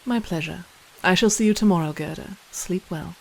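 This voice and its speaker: A woman's voice: fairly low pitch, normal speed, darkish tone, rough recording. A woman playing a kindly, self-assured young princess in an audio play, with proper, gracious manners.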